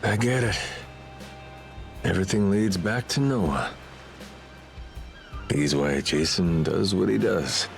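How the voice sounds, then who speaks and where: An actor playing a brash, carefree pirate captain in a video game, talking in a casual, cocky, slangy way. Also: male voice, deep voice, slow pace, gravelly, long pauses, soft background music, full character voice. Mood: wry, resolved.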